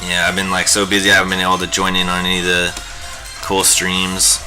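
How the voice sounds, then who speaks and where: Young man, casual streamer host, bright, cutting voice, slightly low-pitched, rambling and goofy with filler words. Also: monotone, a little fast, faint music behind. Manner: rambling and jokey, lots of fillers. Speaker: livestream host teaching digital painting. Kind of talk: casual live-stream chat while painting.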